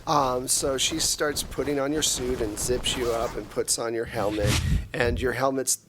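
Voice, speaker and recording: A man narrating an improvised tabletop game as game master in a casual, halting way. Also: medium pitch, steady tone, noisy background. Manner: casual, halting descriptions full of "like".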